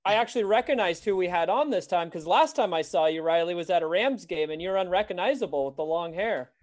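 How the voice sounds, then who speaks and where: A man co-hosting a casual chat, telling things in an animated way and doubling back on his phrases. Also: sits high, quick pace. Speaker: podcast co-host.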